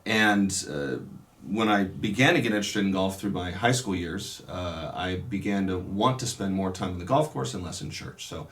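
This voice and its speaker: This is a man with a notably low voice, speaking in a relaxed interview setting. He talks through his memories thoughtfully, pausing often and circling back to restart his sentences. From California. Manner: reflective, unhurried, many pauses and restarts. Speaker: Dominican priest. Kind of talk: casual interview, telling his life story.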